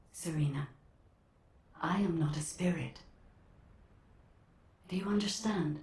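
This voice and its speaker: A calm female AI guide from a video game. Her voice is very low and drenched in echo, with formal, measured, reassuring phrasing. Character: calm, helpful female artificial interface guide. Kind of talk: scripted video game dialogue. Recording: echoing, reverberant sound.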